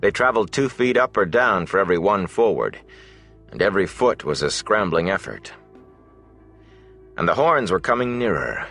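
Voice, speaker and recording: A man's voice: deep voice, very animated, moderate pace, long pauses, fairly bright tone, soft background music. A man reading a fantasy novel aloud as scripted audiobook narration.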